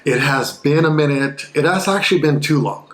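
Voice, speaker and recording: A man's voice: fairly high pitch, moderate pace, reverberant. Earnest man co-hosting a vlog. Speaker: vlog co-host. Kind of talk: casual chat.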